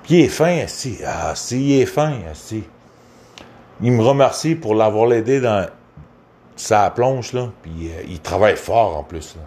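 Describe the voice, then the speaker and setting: A man's voice: on the low side, lively intonation, unhurried, a little mellow. A man from Quebec with a Québécois accent, giving a casual, rambling rant in a crude, profane, hesitant way. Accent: Québécois French.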